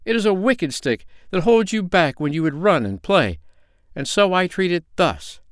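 Man putting on an excitable high-pitched little magical old man. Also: normal speed, dry recording, clean studio sound, heavily acted. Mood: raging. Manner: exclamatory, old-fashioned formal speech.

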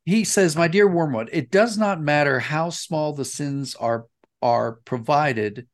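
A man's voice: fairly high pitch, measured pace. A man speaking as an interview guest, explaining his reflections earnestly and with emphasis. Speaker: interview guest, audio dramatist.

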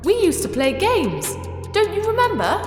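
A woman's voice: very high-pitched, wildly swooping pitch, normal speed, full character voice. A woman playing a playful, menacing artificial intelligence in a science fiction audio drama, with childlike, theatrical taunting. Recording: echoey, long reverb, music behind.

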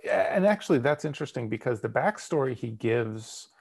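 A man in casual conversation, describing film shots and camera angles in relaxed detail. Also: medium pitch, fairly quick, vocal fry, husky. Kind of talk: casual movie discussion.

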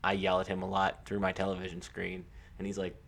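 Youngish american dude cohosting sports podcast, lowish voice, casual, stop-start stories w lots of repeats. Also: male voice, monotone, a little fast, gravelly, fairly bright tone. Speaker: podcast co-host.

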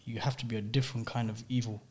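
A man's voice: mid-range voice, little pitch movement, fast, darkish tone. A man with a London accent hosting a casual podcast, talking in slang and repeating phrases to drive his point home. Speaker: podcast host.

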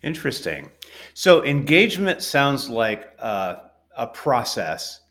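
Lively American male podcast host. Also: medium pitch, takes it slowly. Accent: General American. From United States. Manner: chatty, rephrases to check understanding. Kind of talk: interview questions.

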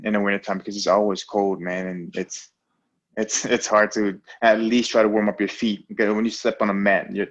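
Low, flat-voiced laid-back fighter. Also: male voice, lo-fi sound. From American Samoa. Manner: laid-back, hesitant, many fillers and restarts. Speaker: professional fighter, guest. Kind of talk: casual interview. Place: Alaska, remote call.

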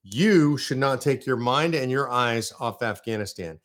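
A man's voice: mid-range voice, expressive, a little slow, fairly bright tone. An American man from California hosting a live political commentary show, ranting in heated, punchy bursts of repetition.

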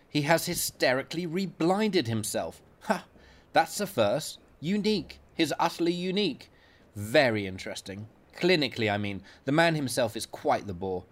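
Guy playing a smug old-school hospital consultant, talks up high, clipped and sarcastic. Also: lightly acted. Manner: sardonic, clipped and dismissive. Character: sardonic senior male doctor, brisk and condescending.

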